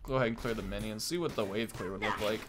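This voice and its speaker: Casting: male gaming creator, lowish voice, informal and enthusiastic, talking casually over loud game music. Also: quick pace. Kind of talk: casual gameplay commentary. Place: small indoor room at home, on webcam.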